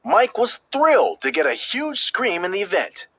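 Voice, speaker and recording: A man narrates a children's storybook in a fairly high, very animated voice with a slightly husky edge. His delivery is expressive and emphatic, leaning on key words as he tells the tale. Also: normal speed, no echo. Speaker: storybook reader. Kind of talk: scripted children's read-aloud narration.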